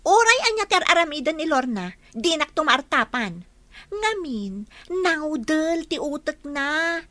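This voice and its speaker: A woman's voice: high voice, big pitch swings, unhurried, pushed and bright, full character voice. A woman acting a jealous, spiteful, boastful young village woman in an Ilocano radio comedy drama, with an Ilocano accent and a catty, dramatic delivery. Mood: bitter, jealous.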